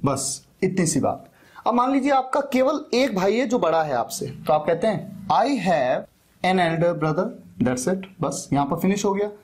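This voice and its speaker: An Indian man, a native Hindi speaker, teaching spoken English by explaining and repeating example sentences in a deliberate, emphatic way. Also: sits high, expressive, husky.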